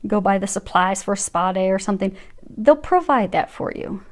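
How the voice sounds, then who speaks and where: A woman giving a casual tarot reading, gentle and reassuring, with frequent fillers and restarts. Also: mid-range voice. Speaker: tarot reader.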